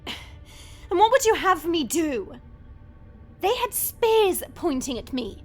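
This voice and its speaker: A woman's voice: very high voice, sing-song, slow pace, soft background music, exaggerated voice. A woman playing an injured, proud and testy warrior in a fantasy audio roleplay, speaking in a formal, indignant way. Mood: pained, indignant.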